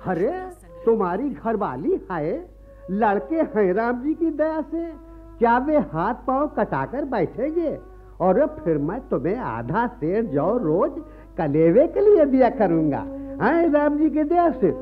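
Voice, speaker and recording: An actor playing a sly, merciless priest moneylender in a radio play, unctuous and falsely friendly, forever adding pious phrases. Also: male voice, very high-pitched, very animated, measured pace, full character voice. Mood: coaxing, unyielding. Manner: unctuous, repeats a pious catchphrase. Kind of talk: radio play acting in rustic Hindi. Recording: muffled radio archive recording with music.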